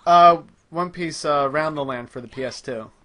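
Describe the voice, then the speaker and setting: Male gameplay host, voice a touch high, casual and explanatory, slightly pedantic. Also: normal speed, sharp tone. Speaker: host playing the game. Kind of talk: casual gameplay commentary chat.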